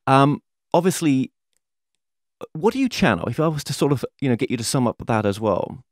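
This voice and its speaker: A man's voice: mid-range voice, expressive, brisk, no room sound, clean studio sound. A man hosting an interview, asking long rambling questions padded with fillers. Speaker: podcast host, interviewer. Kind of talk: conversational interview.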